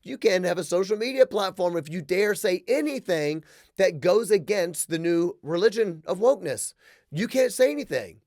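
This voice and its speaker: A man in his thirties hosting a solo podcast, ranting in an animated, sarcastic way with quick jokes. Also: high-pitched, dry recording, clean studio sound. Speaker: podcast host. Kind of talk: casual solo podcast rant. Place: small room with wood-plank wall.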